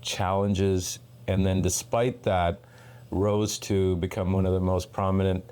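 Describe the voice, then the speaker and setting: A man from Sri Lanka hosting a podcast interview, giving measured, earnest introductions. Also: low voice, level tone, dark tone, clean tone. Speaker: podcast host.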